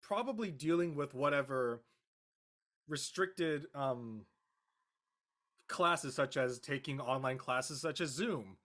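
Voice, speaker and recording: A man talking off the cuff as an actor in an interview, halting and searching for words. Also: medium pitch, lively intonation, pushed and bright. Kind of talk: unscripted interview talk.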